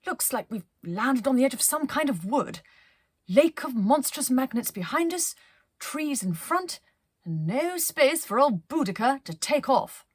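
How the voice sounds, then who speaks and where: A woman voicing a brisk, plucky female pilot in a children's storybook, with a hearty, bossy, jolly manner. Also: sits high, big pitch swings, slightly rough, no room sound, voice pushed up, full character voice. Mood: breezy.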